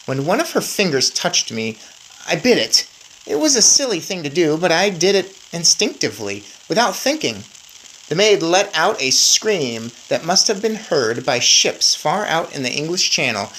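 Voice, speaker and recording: Low-voiced, animated woman reading a story. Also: slight background noise, low-quality recording. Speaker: story reader. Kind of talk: expressive children's audiobook-style read-aloud.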